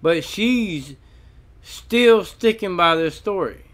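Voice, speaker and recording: Male commentator, fairly high voice, emphatic and opinionated, hammers key phrases by repetition. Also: animated, slow, fairly bright tone, slight background noise. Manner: emphatic, repeats phrases for effect. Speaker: video commentator. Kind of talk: opinionated commentary on an audio recording.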